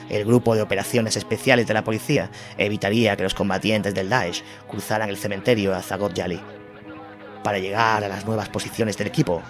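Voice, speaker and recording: Low-voiced Spanish male narrator. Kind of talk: scripted documentary narration. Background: music under the voice.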